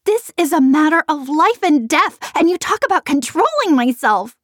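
Very high-pitched, impassioned little farm girl. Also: big pitch swings, normal speed, no room sound, studio quality. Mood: tearful protest. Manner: impassioned, pleading. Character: upset, protesting eight-year-old farm girl. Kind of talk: full-cast audiobook acting.

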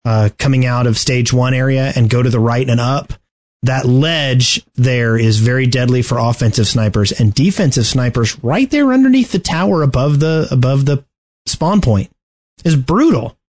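A man co-hosting a casual video game discussion, choosing his words in a halting, deliberate way. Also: medium pitch, expressive, a little mellow. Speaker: podcast co-host.

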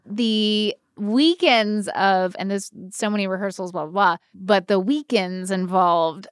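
An American woman co-hosting a podcast, telling personal stories in a casual, animated, slangy and self-deprecating way. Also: medium pitch, unhurried, clear, resonant tone, no room sound, clean studio sound. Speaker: podcast co-host. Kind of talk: casual chat, personal storytelling.

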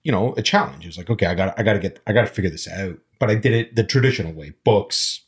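A man's voice: low-pitched, animated, very fast, slightly rough. A man in his forties who grew up speaking Armenian and Arabic, reflecting on his past in a casual conversation. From immigrant, grew up speaking Armenian and Arabic. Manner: reflective, storytelling, frequent fillers. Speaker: panel guest.

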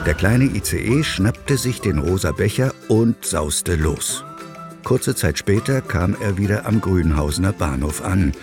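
A man's voice: low-pitched, expressive, a little slow, music in the background. A man narrating a scripted children's story as the narrator.

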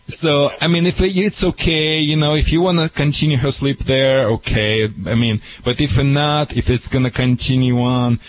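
A man's voice: mid-range voice, steady tone, moderate pace, hardly any pauses, poor audio. A man giving dog training advice to a radio caller, in broken, emphatic English.

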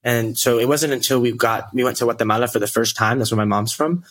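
A man's voice: mid-range voice, fast talker, a bit bright, no echo. A twenty-year-old man from the United States, telling his story conversationally as a podcast guest. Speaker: podcast guest, real estate investor.

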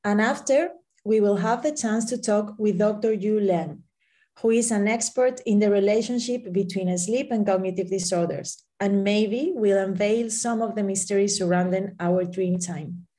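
A woman's voice: medium pitch, normal speed, warm tone. Woman neurologist co-hosting. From Spain. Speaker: neurologist, co-host. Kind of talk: scripted podcast narration.

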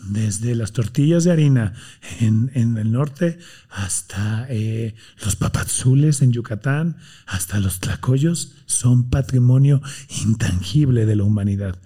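A man's voice: mid-range voice, breathy edge. A man from Mexico with a Mexican accent, talking passionately as a guest in a casual interview. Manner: passionate, fast and animated, acts out quotes. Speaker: guest, healthy food entrepreneur.

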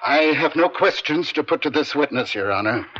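An actor playing an eloquent, combative defense attorney in an old radio drama, speaking in formal rhetorical style. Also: male voice, on the high side, lively intonation, a little fast, a bit bright, a little hiss or hum. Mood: formal, insistent. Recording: dull lo-fi vintage radio.

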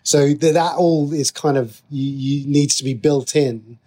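A man speaking as an interview guest, a writer explaining his creative work in a conversational, halting way, often rephrasing as he goes. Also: a little high, warm tone.

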